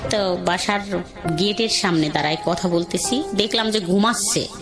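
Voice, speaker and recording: A woman's voice: medium pitch, normal speed. A woman with a Bangladeshi accent telling her own life story in a conversational, rambling, colloquial way. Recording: music under the voice.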